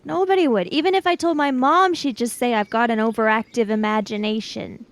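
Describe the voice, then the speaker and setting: Woman as sensible kid in a tabletop mystery: high pitch, close dry sound, matter-of-fact questioning. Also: fairly quick, no room sound, lightly acted. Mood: skeptical. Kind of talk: improvised in-character tabletop roleplay.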